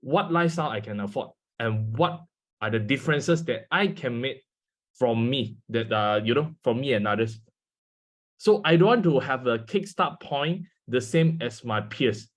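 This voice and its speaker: A man's voice: medium pitch, lively intonation, normal speed. A man in his twenties with a Malaysian English accent, a native Mandarin speaker, giving a guest talk over a video call with frequent fillers. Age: 20s. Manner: hesitant, many fillers, speaks to an audience. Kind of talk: online seminar talk.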